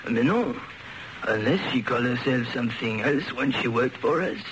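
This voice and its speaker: A man's voice: medium pitch, animated, normal speed, fairly bright tone, lightly acted. An actor playing a formal, businesslike Frenchman with a French accent in a radio drama, on a noisy old recording. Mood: businesslike, doubtful. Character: brisk, formal French businessman of the fashion trade.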